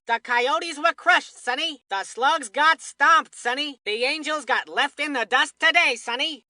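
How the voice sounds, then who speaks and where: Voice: high voice, bright, forceful tone, no room sound. An actor playing a folksy old-timer baseball commentator in a cartoon video game, with old-timey phrasing. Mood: gleefully blunt. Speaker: sports commentator.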